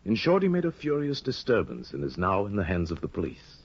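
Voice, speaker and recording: An actor playing a sly, scheming older judge in a 1950 radio drama, insinuating and hesitant, on a dull old broadcast recording. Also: male voice, fairly low pitch, huge pitch swings, slightly rough, exaggerated voice. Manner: insinuating, hesitant with drawn-out ums. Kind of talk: 1950s radio drama acting.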